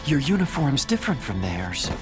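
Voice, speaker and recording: A man's voice: mid-range voice, big pitch swings, moderate pace, music in the background, natural voice. An actor playing a timid, naive, nerdy male engineer in a dramatic video game, with a hesitant, earnest manner. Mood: nervous, wary.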